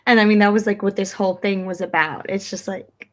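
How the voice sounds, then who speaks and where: A woman's voice: mid-range voice, steady tone, fairly quick. A woman from Long Island with a slight Long Island accent, chatting casually as a guest and sounding a little self-conscious. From Long Island, New York. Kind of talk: casual chat.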